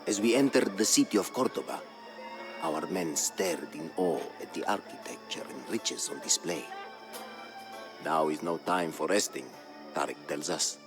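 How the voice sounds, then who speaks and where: Solemn Berber chronicler, very low voice, measured dramatic game narration, proud and formal, loud music behind. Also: male voice, lively intonation, vocal fry. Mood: grave, somber. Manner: grave, measured, formal storytelling. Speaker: campaign story narrator. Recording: studio voice-over with music behind.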